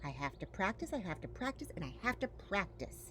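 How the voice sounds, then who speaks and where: A woman voicing a young male lightning bug, earnest, determined and excitable, repeating words emphatically in a storybook reading. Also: medium pitch, brisk, slight background noise, pitched above the performer's own voice.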